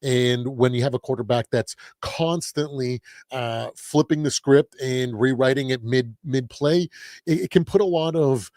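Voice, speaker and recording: Slightly raspy male sports host. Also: mid-range voice, a little slow, darkish tone, no echo, studio quality. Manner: rambling with frequent restarts and fillers. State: recovering from a cold. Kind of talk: casual sports talk livestream.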